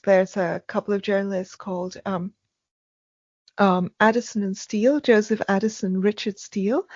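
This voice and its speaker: A soft-spoken woman being interviewed, speaking haltingly with many ums and restarts. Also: medium pitch, no echo, lo-fi sound. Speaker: podcast guest. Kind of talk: casual interview conversation.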